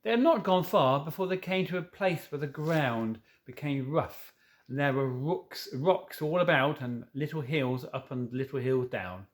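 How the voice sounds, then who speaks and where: Man reading a storybook, slightly high voice. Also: a little slow. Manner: stumbles and corrects himself while reading. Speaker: storybook reader. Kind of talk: reading a children's novel aloud with informal asides.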